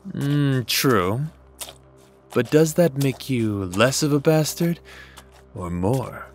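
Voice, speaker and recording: A man's voice: mid-range voice, expressive, takes it slowly, soft background music. A man playing a bored, taunting demon in a fantasy audio drama, casual and teasing. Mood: sly, teasing. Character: bored, taunting male demon, sly and foul-mouthed. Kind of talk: fantasy audio roleplay acting.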